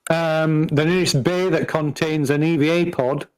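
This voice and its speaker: A man running a tabletop roleplaying game as game master, halting and often searching for his words. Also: a little high, fairly even intonation, a little mellow, smooth. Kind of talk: live tabletop roleplaying session narration.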